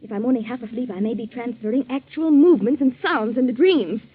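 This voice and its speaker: A high, slightly husky voice on a dull vintage radio recording: an anxious, jealous wife confined to bed. She speaks with melodramatic intensity and a fearful tremor, in the style of a forties mystery play. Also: fast talker. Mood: anxious, insistent. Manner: intense, melodramatic, tremulous when afraid. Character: frightened, suspicious bedridden wife tormented by jealousy. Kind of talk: 1940s radio mystery acting.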